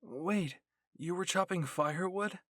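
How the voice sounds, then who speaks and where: A man's voice: high-pitched, measured pace, fairly bright tone, no echo, clean studio sound, pitched above the performer's own voice. A man voicing earnest, worried young soldiers chiding their friends in an anime story, sounding fretful. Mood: concerned, chiding. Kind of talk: character voices in a fan fiction reading.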